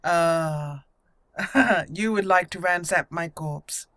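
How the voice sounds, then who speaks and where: A woman's voice: lowish voice, extremely slow, fairly bright tone, no echo, clean studio sound. A woman hosting a roleplay game playthrough, giggly and theatrical, slipping between commentary and in-character speech. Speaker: gameplay channel host. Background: faint game music.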